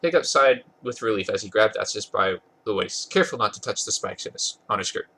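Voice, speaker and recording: A man's voice: fairly low pitch, animated, a little fast, pushed and bright. A man with a congested voice doing an amused dramatic reading of a comic story, stumbling over words now and then and correcting himself. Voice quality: husky, congested. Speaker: podcast host reading fan fiction aloud.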